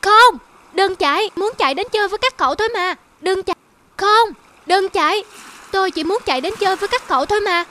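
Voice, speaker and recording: A woman's voice: very high-pitched, brisk. A woman voicing a lonely, friendly male wolf in a cartoon, speaking in an earnest, pleading way. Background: loud music.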